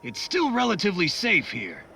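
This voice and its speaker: A man's voice: high voice, wildly swooping pitch, normal speed, vocal fry, sharp tone, a little hiss or hum, exaggerated voice. A man voicing a chatty, eccentric tinkerer talking over the radio in a video game. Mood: upbeat, chatty. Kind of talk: video game cutscene acting.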